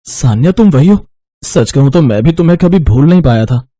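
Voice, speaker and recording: A man's voice: fairly high pitch, animated, a little fast, dark tone, no echo, studio quality, natural voice. A man playing a devoted young rich businessman in love in a cartoon story, earnest and reassuring. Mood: earnest, resolved.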